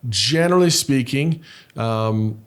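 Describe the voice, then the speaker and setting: A middle-aged man talks through insurance options in a patient, methodical way, leaning on simple examples. His voice sits in an ordinary middle pitch. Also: expressive, takes it slowly. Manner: patient, explains step by step with examples. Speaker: insurance broker co-host. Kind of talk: explanatory conversational chat.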